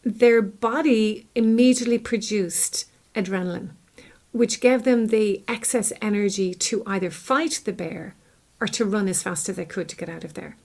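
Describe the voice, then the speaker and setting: A woman's voice: mid-range voice, moderate pace, a little mellow. Irish woman explaining in conversation. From Ireland. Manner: explains with everyday examples and acted-out thoughts. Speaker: clinical psychologist, podcast guest.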